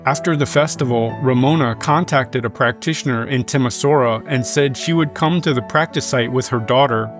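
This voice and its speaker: A man reading scripted news in a measured, formal manner. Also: mid-range voice, soft background music. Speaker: news reader.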